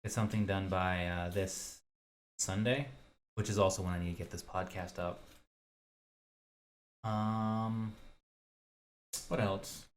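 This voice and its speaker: A young man hosting a solo podcast, rambling in a casual, hesitant way and thinking aloud with many pauses and restarts. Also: sits low, steady tone.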